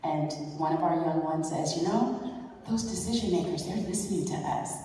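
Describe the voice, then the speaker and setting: A woman's voice: low voice, moderate pace, warm tone, a little hiss or hum. A woman youth advocate on a conference panel, speaking in an affirming, conversational way. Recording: echoey hall, mic heard from far off.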